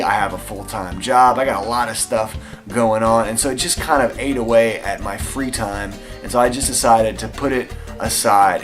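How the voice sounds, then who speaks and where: A man's voice: mid-range voice, fairly bright tone. Chatty young Texan man. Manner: enthusiastic, chatty, frequent fillers. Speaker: airsoft channel host answering viewer questions. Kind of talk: casual Q&A vlog.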